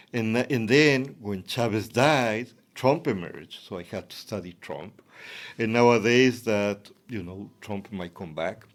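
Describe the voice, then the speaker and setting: Male professor, low voice, Ecuadorian Spanish accent, answering interview questions reflectively. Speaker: academic guest, professor.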